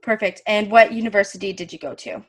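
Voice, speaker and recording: A woman's voice: mid-range voice, fast talker. A woman hosting an interview, asking questions in a casual, friendly way with frequent ums. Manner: friendly, with fillers and laughs. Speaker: host.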